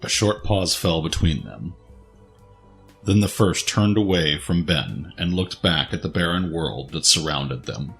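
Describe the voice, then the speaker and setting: A man's voice: deep voice, expressive, unhurried, gravelly, a bit bright, soft background music. A man narrating a scripted dramatic story as the narrator of an audio drama.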